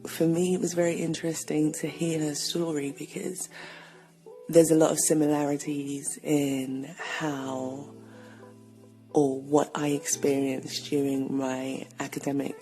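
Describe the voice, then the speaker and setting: A woman hosting a podcast speaks low and steady, with hardly any rise and fall in her voice. Her tone is mellow and dark, with a gravelly edge. She talks in a reflective, conversational way, and faint music sits under her voice. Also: unhurried, low-quality recording. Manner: reflective and conversational, with frequent fillers. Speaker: podcast host. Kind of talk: solo episode introduction.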